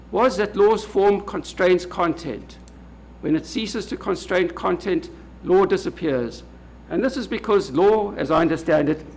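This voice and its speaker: A man with a South African accent delivers a formal lecture, his voice sitting fairly high for a man. He speaks in a measured, halting way, filling pauses with frequent "uh"s. A faint background noise runs under the recording. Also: low-quality recording. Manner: measured, many hesitant "uh" fillers. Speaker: legal academic speaker. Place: lecture hall at a public event.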